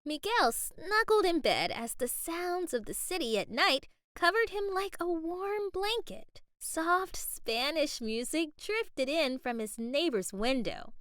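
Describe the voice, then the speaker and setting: Woman reading a kids' book aloud, high and sing-song, slow, close and dry. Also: dry recording. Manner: expressive, carefully paced reading. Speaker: children's book reader. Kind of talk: read-aloud storytime narration.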